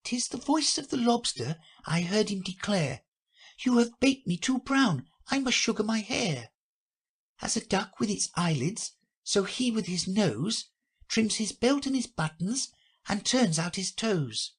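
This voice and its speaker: A man voicing a polite, curious young girl who speaks with a timid, wondering manner and a husky edge. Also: high voice, sing-song, unhurried, pitched above the performer's own voice, full character voice. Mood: reciting.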